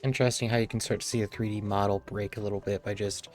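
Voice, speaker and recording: A man's voice: lowish voice, steady tone, quick pace, darkish tone. Casual male game streamer over music. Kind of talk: casual live stream commentary.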